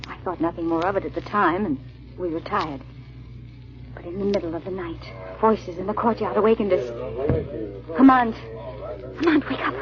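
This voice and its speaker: A woman's voice: mid-range voice, moderate pace, pauses often, close to an everyday voice. A woman playing a hunted, anxious young wife who recounts her escape in a 1940s radio drama, on a muffled old broadcast recording. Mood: urgent, alarmed.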